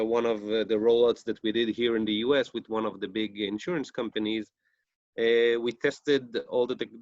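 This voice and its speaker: A man with an Israeli accent presents over a video call, pausing often on fillers as he goes. His voice sits at a middle pitch. The sound is dry and a little dull, like a home call setup. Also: dry recording. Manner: halting, many fillers. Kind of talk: conference presentation.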